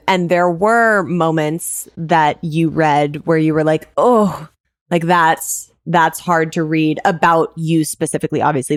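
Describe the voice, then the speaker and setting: A woman's voice: low voice, no room sound, clean studio sound. A woman hosting a casual podcast chat, candid and given to casual swearing. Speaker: podcast host and author.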